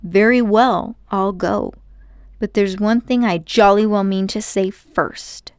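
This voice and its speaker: A woman's voice: medium pitch, slow pace, a little mellow, clean tone, no room sound, clean studio sound. A woman voicing an outspoken, hot-tempered schoolboy in storybook dialogue, blunt, indignant and accusing. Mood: defiant.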